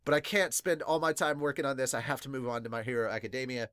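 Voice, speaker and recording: Excitable sniffly man, close dry recording. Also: medium pitch, fast talker, clear, resonant tone, dry recording. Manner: excitable, casual swearing. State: congested, sniffly from crying. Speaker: podcast host reviewing manga. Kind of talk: casual fan reaction, reading viewer comments aloud.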